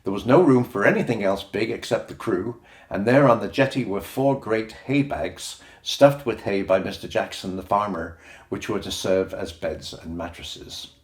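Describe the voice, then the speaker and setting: A man's voice: on the low side, normal speed. A man reading a children's story aloud as an audiobook narrator, in scripted storybook style. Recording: roomy echoing sound.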